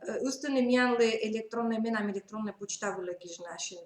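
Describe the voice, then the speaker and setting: A woman's voice: on the high side, fast talker, crowd noise. A woman teacher, hesitantly explaining in a meeting. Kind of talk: work meeting discussion. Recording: echoey room.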